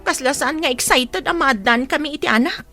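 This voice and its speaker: A woman's voice: high voice, fairly quick, bright, forceful tone. A woman with a Filipino accent playing a caring but anxious, suspicious young pregnant wife in a radio drama, with a plaintive, questioning way of talking. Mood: hurt, suspicious. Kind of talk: Ilocano radio drama acting. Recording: music behind the dialogue.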